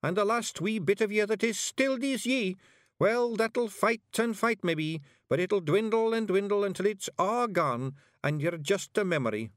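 A man voicing a tiny, earnest young Scottish fairy bard, explaining haltingly as in a storybook. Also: high-pitched, no room sound, studio quality, voice pushed up, close to an everyday voice. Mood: ominous, earnest.

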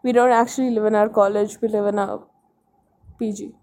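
A woman's voice: mid-range voice, level tone, fairly quick, darkish tone. A young adult woman with an Indian accent, co-hosting a casual podcast with teasing, dry, mock-formal banter. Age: young adult, college student. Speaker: podcast co-host.

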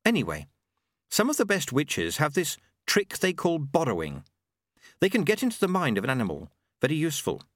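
Man voicing a high-pitched, brisk, sardonic woman. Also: wildly swooping pitch, no room sound. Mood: explaining briskly. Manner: brisk, dry, sarcastic. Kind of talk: audiobook character acting.

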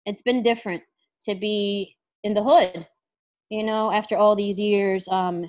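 A woman's voice: medium pitch, steady tone, unhurried, highs cut off. A woman who is a clinical psychologist and life coach, speaking as an interview guest in a thoughtful, casual way, full of fillers and restarts. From New York, USA.

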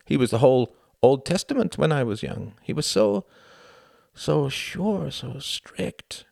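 A man's voice: medium pitch, big pitch swings, measured pace, gravelly, darkish tone, no echo, clean studio sound, voice pushed up, heavily acted. A man voicing an earnest, brooding young German man with a wistful, heartfelt manner. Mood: bitter.

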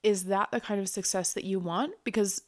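A woman with a mid-pitched voice co-hosts a podcast, sounding nasal and stuffy from a cold. She explains her points in a steady, reflective way. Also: a little fast. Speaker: podcast co-host. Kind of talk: casual chat.

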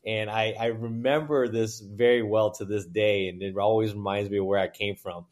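A man in his forties, born in China and raised in Texas, whose first language was Chinese, telling his life story as a guest in a casual interview, halting and full of fillers. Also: lowish voice. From born in China, raised in Houston, Texas. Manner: relaxed, halting, with many fillers and restarts. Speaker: guest, private equity managing partner.

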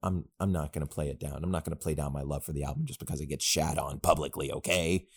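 A man's voice: very deep voice, fast talker, husky. A young man co-hosting a podcast, chatting casually in a rambling, joking way. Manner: rambling, jokey, animated asides. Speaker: podcast co-host.

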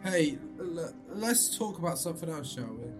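A man's voice: a little high, lively intonation, moderate pace, natural voice. Radio drama role: male actor as wary young pal, hesitant and evasive, faint music behind the voice. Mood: soothing, weary. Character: cautious young man, the reluctant, evasive best friend.

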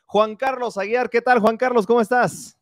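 A man's voice: very high-pitched, fairly even intonation, normal speed, no echo, studio quality. Chatty young male podcast host. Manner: warm, chatty, frequent hesitations. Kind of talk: casual interview hosting.

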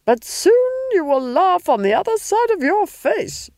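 An imperious, cruel noblewoman from a fantasy audiobook, acted out by a woman. She hisses and rasps her threats slowly, with swooping emphasis and total command. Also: extremely high pitch, big pitch swings, a little mellow, no room sound, studio quality, pitched above the performer's own voice, full character voice. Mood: menacing, commanding. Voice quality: hissing, rasping. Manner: drawn-out, threatening delivery. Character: menacing, imperious big red-faced villainous Duchess.

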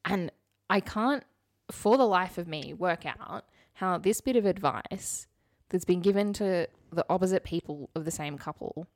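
Young woman, lowish voice, casual podcast co-host, candid, wry, emphatic, very dry sound. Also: unhurried, no echo. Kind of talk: casual conversational chat and storytelling.

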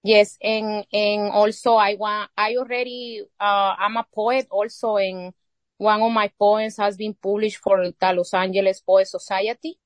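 Woman, Salvadoran Spanish accent, native Spanish speaker, halting, many pauses, earnest, dry close sound. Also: mid-range voice, flat delivery, dry recording. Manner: halting, searching for English words with many pauses. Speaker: guest. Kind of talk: casual interview conversation.

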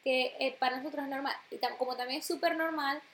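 A young Venezuelan woman co-hosting a podcast, chatting in a lively, slangy way and swearing freely. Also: sits high, quick pace, a little hiss or hum. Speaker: podcast co-host. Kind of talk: casual chat.

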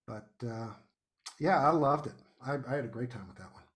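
A man's voice: mid-range voice, expressive, fairly quick, a bit bright. Enthusiastic man as a podcast guest. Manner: enthusiastic, effusive, emphatic. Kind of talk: casual conversational review.

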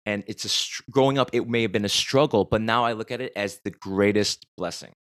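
A man's voice: fairly low pitch, fairly quick, a bit bright, dry recording, studio quality. An American man hosting a podcast, talking reflectively and rambling a bit with restarts. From United States. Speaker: podcast host. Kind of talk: conversational podcast interview.

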